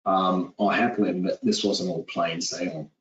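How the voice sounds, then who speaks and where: Low-voiced Kiwi man presenting a webinar. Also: normal speed. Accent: New Zealand. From New Zealand. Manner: steady, businesslike, with frequent filler "uh"s. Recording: echoey, distant, dull lo-fi audio.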